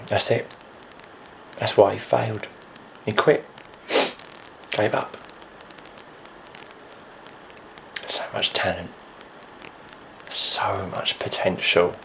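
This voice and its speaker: Man acting a gravelly, grieving friend. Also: fairly low pitch, poor audio. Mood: bitter grief. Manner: halting, repetitive, earnest. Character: grieving, frustrated man mourning a talented musician friend. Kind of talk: dramatic stage monologue. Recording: faint noise behind the voice.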